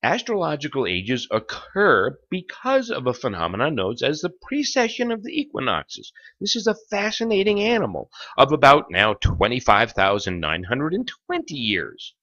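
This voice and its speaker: A man narrating a lecture in a theatrical, suspenseful documentary style, pausing for effect between phrases. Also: medium pitch, wildly swooping pitch, sharp tone, dull audio. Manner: theatrical, with rhetorical questions and suspenseful pauses.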